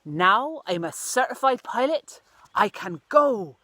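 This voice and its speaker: Woman doing an eager jumpy little boy bird from a storybook, high and exclamatory, swoopy. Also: sing-song, takes it slowly, bright, forceful tone, no room sound, exaggerated voice. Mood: eager, proud. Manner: exclamatory, polite, plaintive. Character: excitable young male bird, eager, easily frightened.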